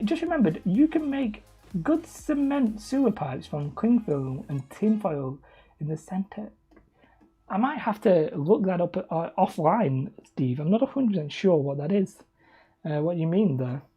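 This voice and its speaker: A man casually chatting on a livestream while he crafts hobby terrain, rambling and self-deprecating as he reads the chat aloud. He calls his own voice high and whiny. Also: breathy edge, muffled recording. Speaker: hobby terrain-making streamer.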